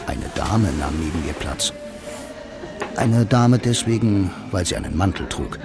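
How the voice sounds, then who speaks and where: Low-voiced, laconic noir loner. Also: male voice, lively intonation, a little slow, over music. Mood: wistful. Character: blind lonely bank clerk, film-noir romantic, dry and wistful. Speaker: first-person narrator and lead. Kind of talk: radio play narration, noir monologue.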